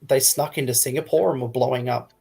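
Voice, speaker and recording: A man's voice: mid-range voice, fairly even intonation, fairly quick, gravelly, mellow, husky. A man chatting casually as a panelist on a hobby livestream.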